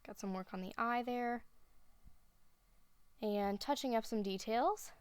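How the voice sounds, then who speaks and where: A woman giving a relaxed voice-over, explaining her painting steps as she thinks aloud. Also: a little high, unhurried, clear, resonant tone, no room sound. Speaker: art instructor. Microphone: voice-over recorded separately.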